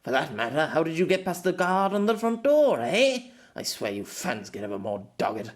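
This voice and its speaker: A man's voice: on the high side, big pitch swings, moderate pace, voice pushed up. A man voicing a haughty, irritable author in his fifties, pompous and curt, in an audiobook. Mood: irritated, suspicious. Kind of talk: audiobook character voice.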